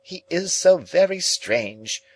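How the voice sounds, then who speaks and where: A man voicing a fussy, self-pitying older woman relative in an audiobook reading, with a shrill, affected, exclaiming manner. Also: lively intonation, takes it slowly, heavily acted, rough recording. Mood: indignant. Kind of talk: audiobook character voice.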